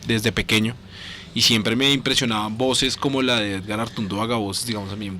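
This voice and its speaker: A man's voice: fairly low pitch, steady tone, normal speed, pushed and bright, slight background noise. A man co-hosting a radio show, chatting casually and enthusiastically. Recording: music behind the talk. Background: music.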